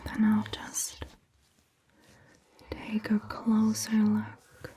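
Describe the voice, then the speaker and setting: Woman playing a slow, whispering vampire doctor. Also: medium pitch, completely flat delivery. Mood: gentle, reassuring. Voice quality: breathy, hushed, whispery. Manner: gentle, slow, reassuring, softly questioning. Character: caring, attentive female vampire doctor tending a patient. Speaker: ASMR performer. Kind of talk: soft-spoken ASMR roleplay, mostly whispered.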